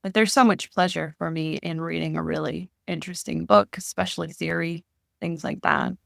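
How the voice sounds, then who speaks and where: Low, gravelly, resonant woman, candid and reflective. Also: expressive, no echo, studio quality. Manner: thoughtful, candid and emotional, with pauses and self-corrections. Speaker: podcast guest. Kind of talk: reflective interview conversation.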